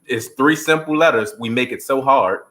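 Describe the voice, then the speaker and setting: A man's voice: medium pitch, moderate pace, sharp tone. Guy actor in an interview, heartfelt and earnest, kinda preachy, quotes scripture. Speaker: stage actor, interview guest.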